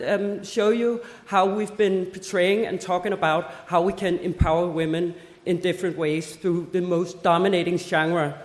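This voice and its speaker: A woman in her forties from Denmark giving a conference talk, lively, with joking asides and rhetorical questions. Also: lowish voice. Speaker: festival head giving a seminar talk. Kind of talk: conference presentation. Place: seminar hall. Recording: echoey hall with long reverb.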